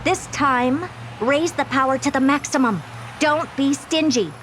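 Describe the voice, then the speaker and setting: An actress playing a confident, haughty woman scientist who gives brisk, commanding orders in a video game cutscene. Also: high voice. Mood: commanding, pleased. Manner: brisk, commanding, self-assured. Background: loud music.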